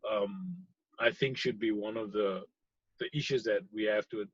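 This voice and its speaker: A man from South Africa, a pharmacy technician, answering interview questions thoughtfully, with frequent pauses and fillers. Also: on the low side, breathy edge, dry recording, dull audio. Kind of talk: conversational interview.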